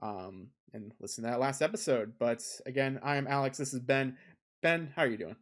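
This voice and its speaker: A man co-hosts a laid-back gaming podcast in an ordinary mid-range voice. He rambles and fills his pauses with ums. He is recorded close on a desk condenser mic at a home setup. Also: mid-range voice. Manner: rambling, heavy on filler ums. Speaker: podcast co-host. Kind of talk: casual podcast chat.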